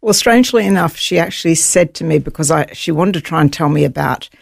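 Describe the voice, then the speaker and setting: A woman with a New Zealand accent, a guest telling her life story in an earnest, halting way with many fillers. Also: low-pitched, creaky, dry recording, studio quality. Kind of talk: personal testimony interview.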